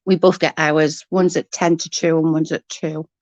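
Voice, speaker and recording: A woman's voice: low voice, a little mellow, no room sound. A woman with a blocked-up, snotty voice that is starting to go, chatting casually with viewers on her livestream and greeting them by name. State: congested, coughing, voice going. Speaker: livestream host. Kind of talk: casual chat with live viewers.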